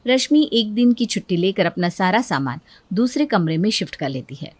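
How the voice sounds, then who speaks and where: A woman's voice: medium pitch, big pitch swings, moderate pace, no echo. A woman reading scripted story narration.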